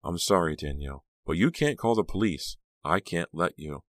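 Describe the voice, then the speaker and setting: A man voicing a sinister small woman in a storybook who poses as a gentle aunt, firm, blunt and coaxing. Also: low-pitched, lively intonation, normal speed, no room sound. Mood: gently menacing.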